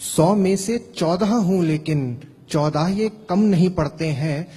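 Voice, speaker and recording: A male poet recites verse in a rhythmic, measured cadence. His voice is slightly raised and husky. It trails off in the long reverb of a hall. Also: high-pitched, mellow. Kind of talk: poetry recitation.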